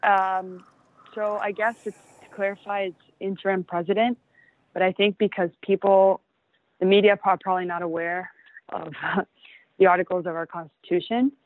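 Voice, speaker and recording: A woman, answering interview questions casually with many ums and frequent soft laughs. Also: mid-range voice, little pitch movement, normal speed, dry recording. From Venezuela. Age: 20s. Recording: tinny phone-like line, dry.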